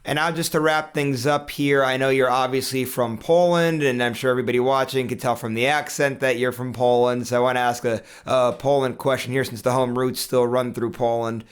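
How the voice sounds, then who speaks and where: A man's voice: mid-range voice, steady tone, a little fast, hardly any pauses, smooth. A man hosting an interview, asking long, rambling questions full of fillers. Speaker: interview host.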